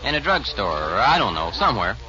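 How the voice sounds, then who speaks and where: An actor playing an earnest, good-hearted, folksy cowboy in a 1940s radio western. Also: male voice, fairly low pitch, big pitch swings, a little fast, crowd noise. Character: earnest, good-hearted cowboy, protective of kindly old ladies. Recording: muffled 1940s radio recording.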